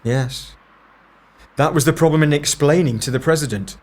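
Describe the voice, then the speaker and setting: A man's voice: on the high side, moderate pace, natural voice. A man playing a serious, analytical time agent in an audio drama, terse, authoritative and matter-of-fact. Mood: grave.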